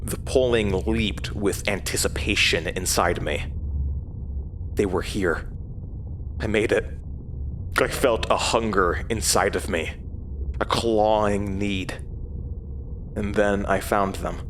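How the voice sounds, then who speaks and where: An actor playing a haunted man, narrating a horror audio drama in the first person with grave, measured hesitation. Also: on the low side, a bit bright, slight background noise. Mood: haunted, tense. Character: haunted man recounting his horrifying past. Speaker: narrator and lead character.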